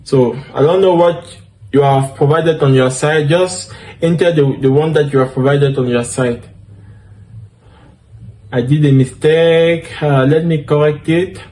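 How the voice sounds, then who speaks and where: A man's voice: fairly high pitch, fairly even intonation, measured pace, warm tone. A man with a French accent, French his first language, walking through a tech tutorial step by step and often correcting himself. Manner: talks through each step, many fillers and self-corrections. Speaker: DevOps instructor. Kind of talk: screen-share tutorial walkthrough.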